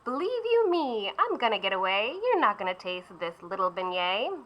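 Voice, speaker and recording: Woman doing a tiny pastry boy, high, giggly, mischievous, sing-song taunts, storybook. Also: huge pitch swings, measured pace, a bit bright, dull audio, slight background noise, exaggerated voice. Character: cheeky boy made of pastry, playful and mischievous.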